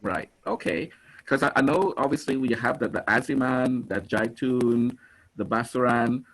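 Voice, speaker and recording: A man's voice: medium pitch, normal speed, a bit bright. A man from England hosting a family video call, talking in a hesitant way with many restarts.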